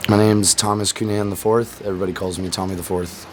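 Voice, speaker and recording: A man from Florida, a military veteran, telling his own story in an earnest, steady way. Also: sits low, fast, slight background noise. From Florida, USA. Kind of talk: personal testimonial.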